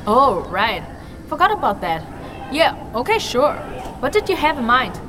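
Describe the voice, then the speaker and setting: Quirky excitable girl sidekick, young woman, really high swoopy voice, jokey slang, fantasy drama. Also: normal speed, a crowd in the background, noisy background. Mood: hesitant. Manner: chatty, playful, modern slangy. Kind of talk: fantasy audio drama acting. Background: loud music.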